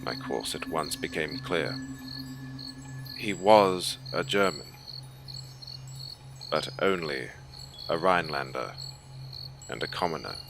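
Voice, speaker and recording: A man playing a cold, haughty German naval officer recounting a horror story in a formal, measured, grave manner. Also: a bass voice, expressive, over music, heavily acted. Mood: grave, detached. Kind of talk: scripted audiobook horror narration. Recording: clear ambient noise bed behind voice. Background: ambient soundscape.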